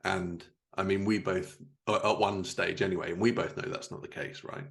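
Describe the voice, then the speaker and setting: A man with a distinctly creaky, gravelly voice speaks on the low side. He is a podcast host, chatting casually as he interviews. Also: little pitch movement, moderate pace, fairly bright tone.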